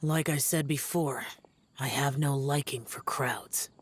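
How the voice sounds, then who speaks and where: A man's voice: mid-range voice, fairly even intonation, unhurried, a little hiss or hum, lightly acted. A man voicing a stern, aloof young immortal demon slayer in a video game, speaking tersely and solemnly. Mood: stern, brooding. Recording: faint music behind.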